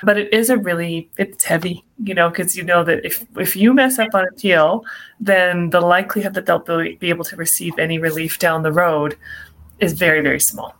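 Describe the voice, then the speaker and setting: A woman with a slight Minnesotan accent, an appellate attorney answering interview questions in an earnest, measured way, with many "you know" fillers. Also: on the low side, fairly even intonation, fairly bright tone.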